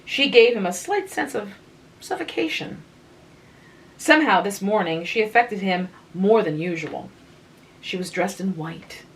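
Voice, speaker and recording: A woman giving a calm, relaxing reading of a literary short story. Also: mid-range voice, poor audio. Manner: slow, calm, unhurried. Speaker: reader of literature.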